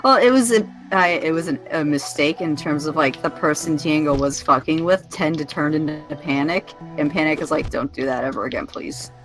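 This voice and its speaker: A woman on a stream call, chatting casually in a relaxed, rambling way. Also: deep voice, moderate pace, few pauses, soft background music, rough recording. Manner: relaxed, rambling, says like often. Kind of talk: casual chat.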